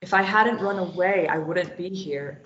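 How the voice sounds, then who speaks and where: A woman's voice: fairly low pitch, moderate pace, a bit bright, slight background noise, lightly acted. Woman reading a grieving self-blaming woman, bitter, subdued, muffled, roomy echo. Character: guilt-ridden young woman, former addict, grieving. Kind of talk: table read of a stage play. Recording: dull echoey room sound.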